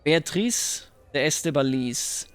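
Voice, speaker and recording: Higher-pitched man narrating a game. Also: animated, clean tone. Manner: thinks aloud, musing and deliberate. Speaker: host of a strategy game roleplay series. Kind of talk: game commentary with in-character roleplay and reading aloud.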